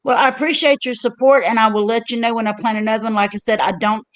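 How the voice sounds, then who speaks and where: A woman's voice: a little high, fairly even intonation, fast talker. An older woman hosting her own livestream, telling stories in a loose, chatty way with lots of fillers and restarts. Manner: rambling storyteller with many fillers and restarts. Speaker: livestream host. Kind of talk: casual chat.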